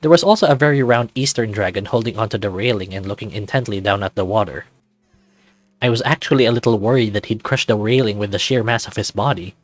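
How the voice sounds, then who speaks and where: A man's voice: lowish voice, quick pace, no echo, soft background music. A man reading visual novel narration and game text aloud.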